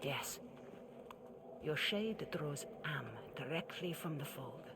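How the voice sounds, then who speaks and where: Solemn older mentor woman, low-pitched. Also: lively intonation, background noise, full character voice. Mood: calm, instructive. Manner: measured, instructive, grave. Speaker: actor in a video game. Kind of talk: cinematic game acting.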